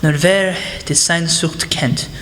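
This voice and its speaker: Young woman playing a low-voiced gentle professor. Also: steady tone, slow, a little hiss or hum. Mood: gentle, thoughtful. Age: teenage student. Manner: hesitant, polite, formal phrasing. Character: gentle, earnest German male music professor. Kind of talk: student radio play acting. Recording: echoey hall.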